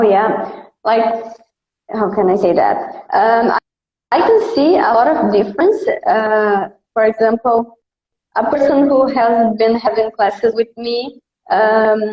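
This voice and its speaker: A Brazilian woman with a high voice and a Brazilian Portuguese accent talks in a relaxed, easygoing way, leaning on "like" and "uh". The sound is roomy and a little dull. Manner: relaxed, easygoing, frequent fillers like "like" and "uh". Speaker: English teacher, guest. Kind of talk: casual interview chat.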